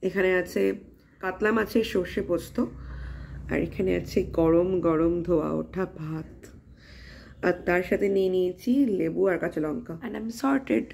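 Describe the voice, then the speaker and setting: A woman's voice: fairly low pitch, moderate pace, gravelly, darkish tone, a little hiss or hum. A young adult woman with a Bengali accent talking casually as a home vlogger, mixing in English phrases. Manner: mixes English phrases into talk. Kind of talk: casual home vlog chat.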